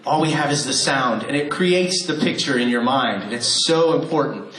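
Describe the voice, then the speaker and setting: A man's voice: medium pitch, normal speed, fairly bright tone. A man hosting a live radio theater show, joking with the audience and coaching them through cue-card practice. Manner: playful, joking, coaxing the crowd. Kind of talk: warm-up talk to a live audience. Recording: echoey hall with a crowd. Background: audience noise and applause.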